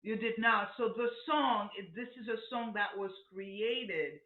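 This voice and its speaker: A woman's voice: mid-range voice, unhurried. A woman hosting a casual conversation, guiding it along and summing up her guest's points. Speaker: host. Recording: echoey, dull room sound.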